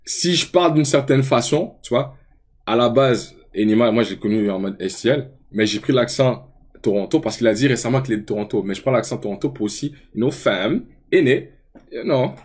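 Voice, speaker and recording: A young man with a Quebec French accent, commenting casually on a live stream and switching into English slang with animated outbursts. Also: mid-range voice, normal speed, slightly rough. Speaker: streamer reacting to rap videos.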